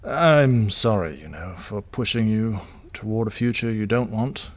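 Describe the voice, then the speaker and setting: A man's voice: fairly low pitch, unhurried, lightly acted. Man playing a weary, tender, hesitant father. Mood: apologetic, tender. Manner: hesitant, apologetic, gently wry. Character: weary, self-doubting, tender father and troubled leader. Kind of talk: audio drama dialogue.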